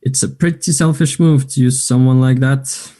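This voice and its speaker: A cocky, dismissive young man, performed by a man in a play reading. His voice is dark and relaxed at a middle pitch. The delivery is blunt and sardonic, in short clipped replies. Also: normal speed, close to an everyday voice. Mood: scornful, dismissive. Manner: curt, sardonic. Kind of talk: play table read.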